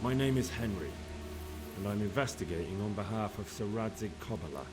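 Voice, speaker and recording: A man's voice: on the low side, expressive, a little fast, music in the background, natural voice. An actor playing a persistent young investigator in a video game drama, direct and businesslike as he questions. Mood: firm, probing. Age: young adult.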